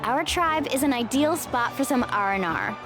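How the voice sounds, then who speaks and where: A woman's voice: high voice, big pitch swings, normal speed. An actress playing a confident, friendly young female warrior in a video game, talking in a casual, reassuring way. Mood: reassuring, upbeat. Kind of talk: game voice acting. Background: game music.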